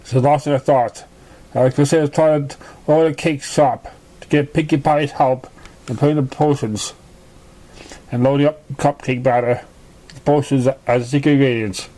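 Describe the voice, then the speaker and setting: A man reading a story aloud as narration, halting and often stumbling over the words. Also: mid-range voice, fairly even intonation, mellow. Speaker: amateur fan fiction reader.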